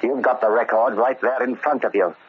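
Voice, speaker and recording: An actor playing a bitter, stubborn middle-aged convict with an American accent and a grim, deliberate delivery, in old-time radio drama style. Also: male voice, mid-range voice, steady tone, close to an everyday voice. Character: bitter, resolute 44-year-old convict bent on settling a debt. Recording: dull, noisy old radio recording.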